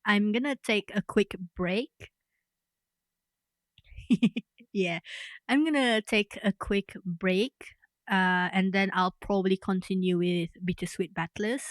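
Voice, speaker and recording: Woman streamer, casual wrap-up chat, slow and meandering, dry booth with no room sound. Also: mid-range voice, dry recording. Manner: rambling, self-correcting, trailing off.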